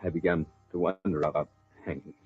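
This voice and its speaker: An actor playing a terrified doomed man who narrates his own hanging gravely in a vintage radio horror drama. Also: very deep voice, fairly even intonation, a little fast. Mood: dread. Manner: grave, suspenseful storytelling. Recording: thin muffled old radio recording with music.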